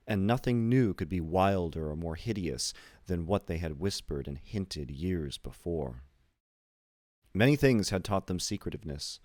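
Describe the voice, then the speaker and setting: A man reading a classic horror story aloud as scripted literary narration, in a measured, deliberate manner. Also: deep voice, a little mellow, no room sound, studio quality. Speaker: audiobook reader.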